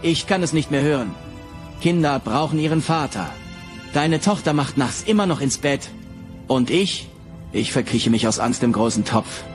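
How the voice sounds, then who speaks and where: A man's voice: mid-range voice, lively intonation, normal speed, soft background music, natural voice. A man playing a whiny, resentful son in a comedy sketch. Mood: indignant. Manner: whiny, indignant.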